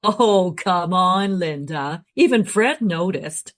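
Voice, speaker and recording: Woman playing a brash, animated, teasing time traveller. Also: on the low side, slow pace. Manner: sardonic, blunt. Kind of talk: radio play acting.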